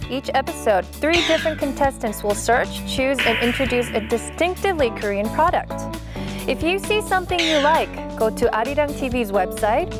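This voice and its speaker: A woman's voice: high voice, expressive, normal speed, over loud music. A woman hosting a TV show in English, bubbly and upbeat, laughing often. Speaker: host.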